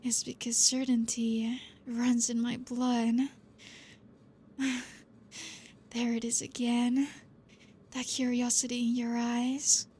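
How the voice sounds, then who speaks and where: A woman voicing a protective, possessive female vampire teacher in an ASMR roleplay. She speaks softly, calmly and with quiet command, her breathy voice slipping into whispers. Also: on the high side, slow, long pauses, a little hiss or hum. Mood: teasing. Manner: soft-spoken, calm, quietly commanding.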